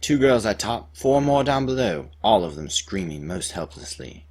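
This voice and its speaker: A man's voice: fairly low pitch, very animated, moderate pace, a little mellow, husky. A man playing a mock-heroic balladeer, reciting a comic rhyming ballad in a pompous, theatrical, emphatic way. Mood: dramatic. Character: mock-heroic balladeer, grandly recounting a young man's misadventure.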